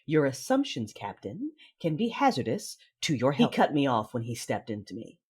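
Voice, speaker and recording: A man playing a guarded, commanding Sith lord in a sci-fi audio drama, narrating inwardly in a husky voice. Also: mid-range voice, huge pitch swings, normal speed, a little mellow. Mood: curt, commanding. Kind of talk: first-person audio drama narration and dialogue.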